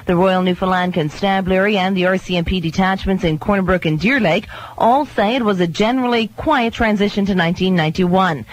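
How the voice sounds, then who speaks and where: A woman's voice: lowish voice, low-quality recording. A woman reading a scripted radio newscast. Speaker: radio news reader.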